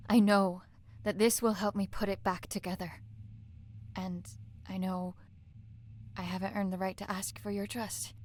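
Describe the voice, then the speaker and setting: A woman's voice: mid-range voice, level tone, measured pace, darkish tone, close to an everyday voice. An actress playing a troubled, guilt-ridden but determined woman in a video game drama, speaking in an earnest, hesitant way. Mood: anguished, determined.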